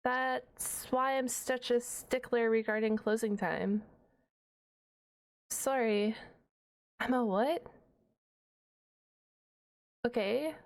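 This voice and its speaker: High-pitched, flustered half-dragon librarian played by a woman. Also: a little mellow. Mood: sheepish, then matter-of-fact, prim. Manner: stammering, bookish, wordy, awkwardly defensive. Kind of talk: ASMR roleplay audio drama.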